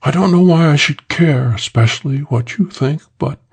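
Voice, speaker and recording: Femme fatale for crime audiobook, played by a male narrator: raised pitch, earnest, pleading, confessional. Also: moderate pace, vocal fry, breathy edge, heavily acted. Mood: earnest confessing. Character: beautiful young woman crook, pleading and earnest.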